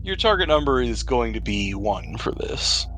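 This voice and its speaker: A man's voice: mid-range voice, big pitch swings, moderate pace, a bit bright. A man running a tabletop game as game master, describing scenes and explaining rules in a conversational, improvised way. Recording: music playing behind the voice. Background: music.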